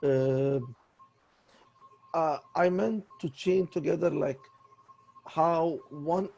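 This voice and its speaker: A raspy man speaks in a halting, apologetic way, doubling back on his words again and again. His voice is dark and gravelly, sitting a little higher than most men's, with a husky edge throughout. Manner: apologetic and halting, many restarts. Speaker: voice student. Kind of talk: voice lesson conversation.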